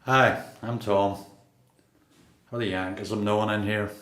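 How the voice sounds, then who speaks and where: An actor with a Northern Irish accent playing a seasoned, quietly defiant long-term prisoner in a radio play. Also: male voice, fairly low pitch, steady tone, normal speed, close to an everyday voice. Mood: reflective. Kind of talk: radio play dialogue and narration. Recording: echoey room.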